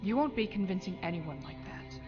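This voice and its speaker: A woman's voice: fairly low pitch, animated, fairly quick, muffled recording, music in the background, natural voice. A woman playing a cool, skeptical military officer in an audio drama, blunt and probing. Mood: skeptical, stern. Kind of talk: fan audio drama acting.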